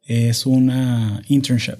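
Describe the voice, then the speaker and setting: Energetic Venezuelan man, mellow voice. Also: mid-range voice, slow pace, faint music behind. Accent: Venezuelan Spanish. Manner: energetic, hyperkinetic, mixes English words. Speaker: podcast host. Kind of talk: casual conversational podcast. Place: home podcast studio. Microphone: studio microphone.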